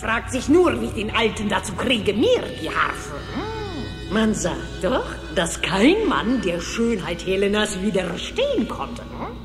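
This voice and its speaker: A vain cartoon witch gloats and schemes in long, theatrical phrases. Her pitch swoops wildly at an unhurried pace. She sounds as if in a big reverberant hall. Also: wildly swooping pitch. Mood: scheming, gloating. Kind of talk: cartoon radio play acting. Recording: reverberant hall, music behind.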